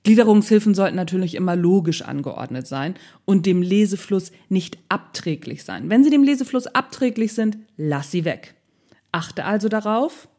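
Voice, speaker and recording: A woman's voice: mid-range voice, a little mellow. A woman with a Northern German accent giving a casual blogging tutorial, chatty, playful and colloquial as she speaks to the viewer.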